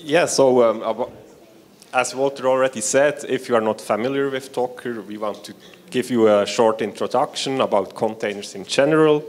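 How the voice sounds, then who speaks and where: A man's voice: mid-range voice, moderate pace. A man from Switzerland presenting at a conference in a large hall, speaking plainly and a little hesitantly into a handheld microphone. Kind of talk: conference talk. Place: large hall with seated audience. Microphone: handheld mic.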